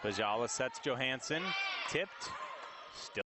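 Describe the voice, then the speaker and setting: A man's voice: mid-range voice, unhurried. A man doing live play-by-play commentary of a volleyball match in clipped phrases that rise on big plays. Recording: noisy gym with crowd behind. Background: crowd noise and ball bounces in a gym.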